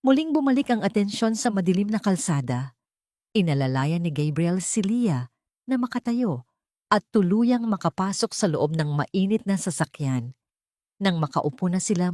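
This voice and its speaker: A woman's voice: on the low side, a little mellow, no room sound, studio quality. A woman reading a scripted story aloud in a dramatic storytelling style. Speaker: storyteller narrator.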